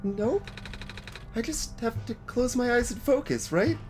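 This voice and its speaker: A high-pitched, gentle child adventurer from a video game, acted out by a man. The delivery is soft, hesitant and apologetic, full of innocent curiosity, with clear game music behind it. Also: lively intonation, a little slow. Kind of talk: voicing dialogue of a video game.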